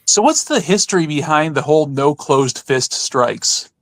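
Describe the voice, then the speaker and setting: A man's voice: fairly high pitch, expressive, a little slow. A man co-hosting a podcast in casual, conversational chat. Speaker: podcast co-host.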